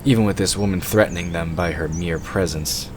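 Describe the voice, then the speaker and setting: A man's voice: deep voice, brisk, noisy background. A man reading scripted narration for a fantasy audio drama. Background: forest ambience sound effects.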